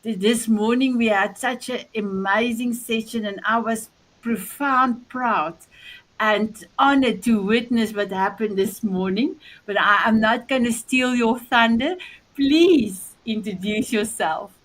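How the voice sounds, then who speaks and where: A woman's voice: a little high, slow pace, poor audio. A woman hosting an online summit, effusive and gushing as she introduces her guests. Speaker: summit host.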